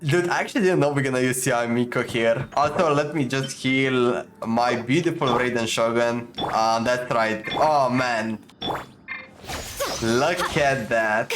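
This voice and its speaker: A man's voice: mid-range voice, measured pace. A young man doing casual, slangy gameplay commentary, reacting informally as he plays. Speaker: gaming streamer. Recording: voice over game music. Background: game music.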